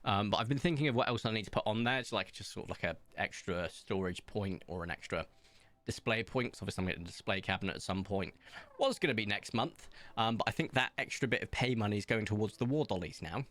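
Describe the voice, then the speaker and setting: A man streaming from home, chatting casually in a rambling, self-mocking way and pausing often. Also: fairly low pitch, a bit bright. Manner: rambling, self-mocking, hesitant with many ums. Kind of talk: casual chat reading viewer comments while playing.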